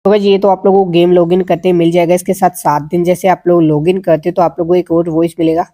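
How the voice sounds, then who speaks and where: A man's voice: sits high, little pitch movement, fast, dark tone. A man with an Indian accent giving a casual, instructional gaming tutorial voice-over, talking to viewers like friends. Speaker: gaming video creator.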